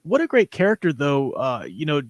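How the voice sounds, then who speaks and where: A man's voice: a little high, wildly swooping pitch, normal speed, no echo. A man hosting a livestream, talking casually about comics in a rambling, hedging way. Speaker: livestream host.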